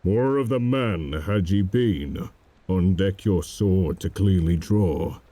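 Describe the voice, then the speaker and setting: A man voicing a grim, defiant Puritan adventurer in a verse story, dark, sombre and deliberate. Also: low-pitched, no echo. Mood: stern reproach.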